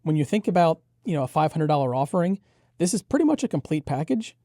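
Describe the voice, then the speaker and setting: A man's voice: fairly high pitch, sing-song, quick pace, dark tone, dry recording, studio quality. A man co-hosting a product review, explaining and giving his impressions in a conversational way. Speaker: headphone show co-host reviewer.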